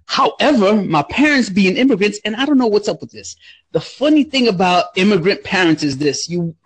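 A young man with a somewhat high, husky voice chats with energy on a podcast. His stories come out loose and profane. The recording is dry and close. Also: brisk, no room sound. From Romania. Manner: animated, profane storytelling. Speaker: podcast co-host. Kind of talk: casual chat.

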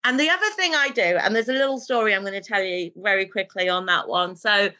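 A woman talks in a casual interview, bright and forceful in tone. She tells lively stories and laughs easily as she goes. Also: mid-range voice, fast, lo-fi sound. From Britain, raised in a Pakistani family. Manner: animated, storytelling, laughs easily. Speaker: guest, television drama writer.

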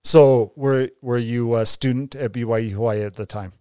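A man's voice: medium pitch, steady tone, normal speed, warm tone, clear, resonant tone, no echo, studio quality. A man in his forties, co-hosting a podcast and asking questions with enthusiastic restarts. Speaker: podcast co-host. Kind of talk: casual interview.

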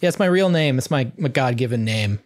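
Casting: male guest voice actor, middle pitch, casual conversational read, dry sound. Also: lively intonation, brisk, dry recording. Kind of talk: casual chat.

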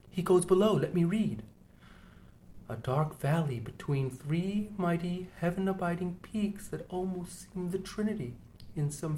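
A man reading an audiobook, voicing a pious, anxious first mate in a quiet, earnest murmur. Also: high-pitched.

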